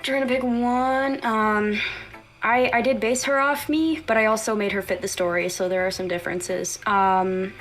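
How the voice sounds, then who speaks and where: A woman's voice: medium pitch, steady tone, normal speed, fairly bright tone, soft background music. A woman in casual livestream chat, thinking aloud hesitantly and prone to giggling. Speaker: livestream panel guest, fan story creator.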